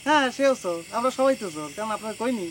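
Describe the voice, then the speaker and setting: A man's voice: very high-pitched, expressive, rapid-fire, a bit bright, a little hiss or hum, poor audio. An actor playing a harried, touchy young village man in a comedy drama, arguing with rhetorical questions. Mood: exasperated, defensive. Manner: argumentative, full of rhetorical questions. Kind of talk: Bengali TV comedy drama acting.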